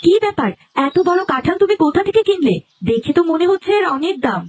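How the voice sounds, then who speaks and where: Cartoon nagging wife, female actor: exasperated, very shrill pitch, fast-talking. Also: very animated, slight background noise. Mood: suspicious. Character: scolding, exasperated village wife. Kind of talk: Bengali cartoon dubbing. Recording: music under the voice.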